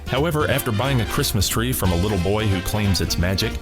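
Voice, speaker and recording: Low-voiced male radio host over music. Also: expressive, fairly quick. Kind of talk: scripted introduction. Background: guitar music.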